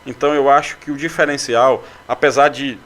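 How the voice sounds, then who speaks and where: A man's voice: medium pitch, steady tone, fairly quick, fairly bright tone. A man from Brazil, a city councilman and candidate, answering radio interview questions with many fillers and restarts. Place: local radio studio.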